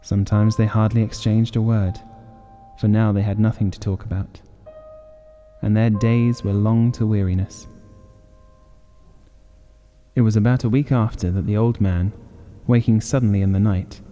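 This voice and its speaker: A man narrating a scripted gothic horror audiobook in a measured, dramatic way. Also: lowish voice, steady tone, warm tone, long reverb tail, faint music behind.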